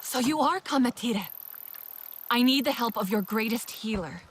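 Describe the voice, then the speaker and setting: A woman playing an earnest, steady young alien clan warrior in a video game. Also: a little high, normal speed, slight background noise, natural voice.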